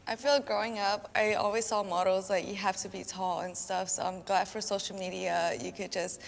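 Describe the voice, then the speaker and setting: Flat, gravelly-voiced woman. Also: medium pitch, normal speed, big-room sound, a little hiss or hum. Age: 20s. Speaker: influencer.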